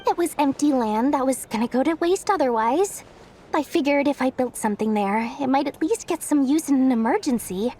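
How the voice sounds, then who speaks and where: A woman's voice: high voice, animated, fairly quick, mellow. A woman playing a haughty, brash young female investor in a video game. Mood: earnest. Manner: haughty, brash, self-important, easily flustered. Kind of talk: video game cutscene acting.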